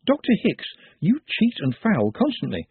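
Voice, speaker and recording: A man's voice: high voice, huge pitch swings, moderate pace, husky, no room sound, phone-quality sound, pitched above the performer's own voice, full character voice. Man doing a posh, earnest little servant. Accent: English. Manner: precise, elaborate, polite phrasing. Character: small shy oddly formal male servant.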